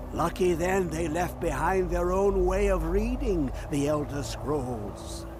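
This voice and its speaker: A rapturous old hermit scholar from a fantasy game, half mad and cryptic. The high voice swoops erratically in slow singsong rambling, over clear background noise. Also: male voice, heavily acted. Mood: sly, boastful. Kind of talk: fantasy video game character acting.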